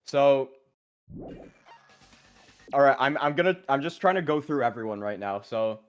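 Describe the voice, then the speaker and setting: A man's voice: medium pitch, lively intonation, moderate pace. A man chatting casually while playing a game, hesitant, thinking aloud and trailing off. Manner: hesitant, trailing off mid-sentence. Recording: game music behind the voice.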